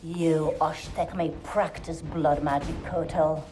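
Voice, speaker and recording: A taunting low-voiced assassin. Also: female voice, fairly even intonation, takes it slowly, slight background noise. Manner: boastful, taunting. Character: cunning proud female assassin. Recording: game cutscene with loud score.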